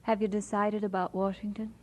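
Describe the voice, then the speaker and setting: An actress playing a poised, gently teasing woman in an audio drama. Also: mid-range voice, little pitch movement, fairly quick, natural voice. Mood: curious. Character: poised, gently teasing women: church secretary, pastor's bride, narrator. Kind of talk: dramatised radio-play acting.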